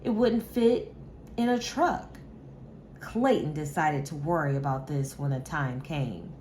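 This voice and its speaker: A woman reading a children's story aloud with measured, expressive delivery, like a teacher. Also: sits low, a little hiss or hum.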